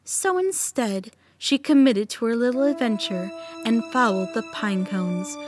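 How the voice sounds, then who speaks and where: Woman acting mysterious big sister, higher voice, measured storytelling, audio drama, faint music. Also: expressive. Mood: eerie suspense. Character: calm, mysterious big sister, fond of eerie tales.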